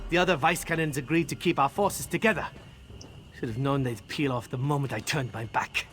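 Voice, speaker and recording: An actor playing a gruff, sardonic military commander in a fantasy video game, speaking bluntly with folksy humor. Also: male voice, mid-range voice, brisk, fairly bright tone, slight background noise, close to an everyday voice. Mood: exasperated. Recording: game dialogue over prominent music.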